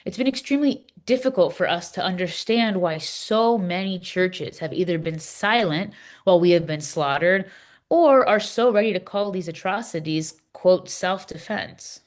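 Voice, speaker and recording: A woman performs an impassioned Palestinian woman in a scripted readers theater dialogue. Her voice sits a little low for a woman. She makes her case earnestly, in emphatic, declarative statements. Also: moderate pace, lightly acted. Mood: earnest, indignant. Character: impassioned Palestinian woman explaining a church document.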